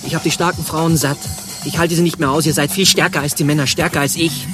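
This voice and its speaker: An actor playing a weak, self-pitying but defiant administrative chief in a radio play, plaintive and overwrought. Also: male voice, fairly high pitch, steady tone, fast, lightly acted. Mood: weary, resigned. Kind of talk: theatrical radio play acting. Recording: music behind the voice.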